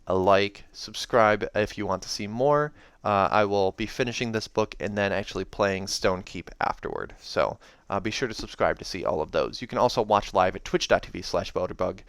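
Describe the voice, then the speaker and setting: A man hosting a read-aloud of a novel, narrating in an expressive, dramatic style. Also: lowish voice, clear, resonant tone, dry recording, clean studio sound. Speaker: host reading a novel aloud. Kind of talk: dramatic audiobook narration with casual asides. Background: soundtrack music.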